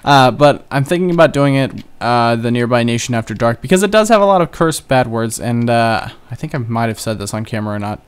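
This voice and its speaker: Young man, gameplay commentary, chatty, self-joking, smooth resonant voice, keyboard clicks behind. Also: medium pitch, expressive, brisk, few pauses. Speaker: gaming channel host. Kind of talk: casual Let's Play commentary.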